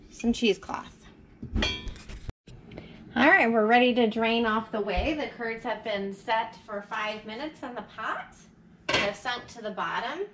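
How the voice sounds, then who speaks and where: A woman with a mid-pitched voice talks through a homemade recipe step by step. Her delivery is informal and casual, like a homesteader showing viewers her routine. She is recorded in a home kitchen on a nearby camera, with clear background noise and clicks. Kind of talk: casual how-to narration while cooking.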